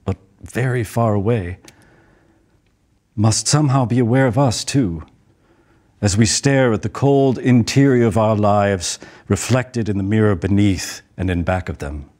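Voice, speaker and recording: A man performing a poetic dramatic monologue as a stage actor, in a measured, pensive, theatrical way with deliberate pauses. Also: fairly low pitch, darkish tone.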